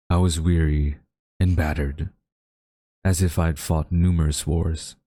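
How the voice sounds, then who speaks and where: Very deep-voiced, haughty government official acted by a man. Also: warm tone, husky, no echo, full character voice. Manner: formal, theatrical, deliberate wording. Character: haughty government official, male, secretly tender toward captured villain. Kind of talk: dramatic audio roleplay monologue addressed to listener.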